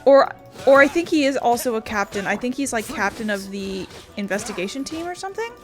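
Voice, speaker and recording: A woman's voice: a little high, animated, brisk. A woman giving casual video game playthrough commentary, rambling and thinking aloud. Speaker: gaming streamer. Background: game music.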